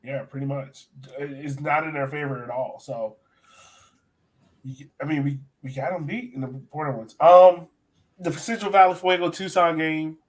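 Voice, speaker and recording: Higher-pitched man chatting casually about sports. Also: normal speed, poor audio. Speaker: soccer podcast host.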